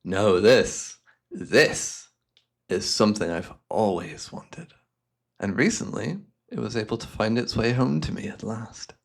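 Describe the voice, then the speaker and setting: A man playing a devious, cultured hypnotist and collector in a fantasy ASMR roleplay, speaking in florid, theatrical phrases with a softly coaxing manner. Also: fairly low pitch, animated, measured pace, vocal fry, full character voice. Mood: proud, musing.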